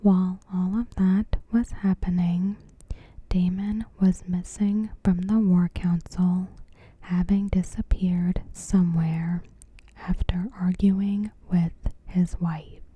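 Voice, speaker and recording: A woman softly narrating a scripted recap in ASMR style, in a breathy whisper with careful phrasing. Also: fairly low pitch, flat delivery, no echo, highs cut off. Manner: soft-spoken, unhurried, careful phrasing. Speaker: ASMR creator.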